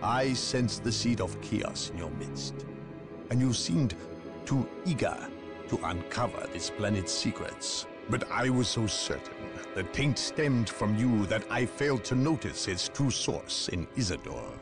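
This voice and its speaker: Formal grave inquisitor, mid voice, careful words, music behind. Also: male voice, mid-range voice, lively intonation. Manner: measured, formal. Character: suspicious male inquisitor, grave and deliberate. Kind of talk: video game cutscene acting.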